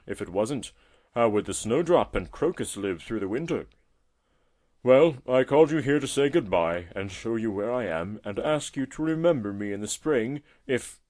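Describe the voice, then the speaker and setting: Man acting an emphatic, animated old tortoise. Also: mid-range voice, lightly acted. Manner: emphatic, chatty, avuncular, fond of tag questions. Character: testy but friendly old male tortoise. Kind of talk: storybook character voice.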